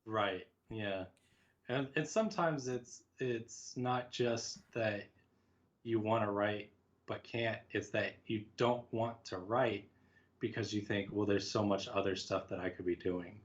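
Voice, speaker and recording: Dull, lo-fi call audio carries a man with a fairly low voice. He talks as a podcast guest in a casual, reflective way, putting himself down a little and voicing imagined remarks as he explains. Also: steady tone, measured pace. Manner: reflective, self-deprecating, talks in quoted asides. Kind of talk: casual conversational interview.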